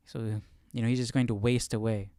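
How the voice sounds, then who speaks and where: A man's voice: mid-range voice, flat delivery, fairly quick, dark tone, dry recording, clean studio sound. A man hosting a live study stream, thinking aloud in casual commentary and often repeating and rephrasing himself.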